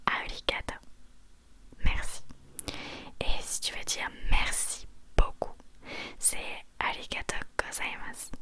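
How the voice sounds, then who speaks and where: Lady doing asmr teacher roleplay, all whispered, bright sharp sound, soft and patient. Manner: soft, patient, encouraging, repeats phrases slowly. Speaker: ASMR creator playing a Japanese teacher.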